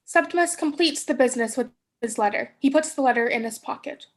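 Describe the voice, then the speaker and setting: A woman's voice: high voice, brisk. A woman giving scripted narration, reading out the stage directions of a play.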